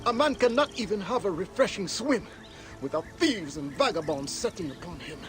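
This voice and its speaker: A huffy robbed fisherman in a game; high, complaining tone. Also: expressive, moderate pace, over music. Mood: indignant. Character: robbed naked fisherman, indignant and fussy. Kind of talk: video game voice acting.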